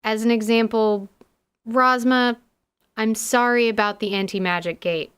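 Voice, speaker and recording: A woman's voice: on the high side, fairly even intonation, unhurried, a bit bright, smooth. A woman hosting as a dungeon master, narrating a scripted podcast in an articulate, slightly wry way.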